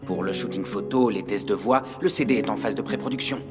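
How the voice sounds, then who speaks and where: An actor playing a calm, evasive radio manager in a French dub. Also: male voice, lowish voice, fairly quick, loud music behind the voice, close to an everyday voice.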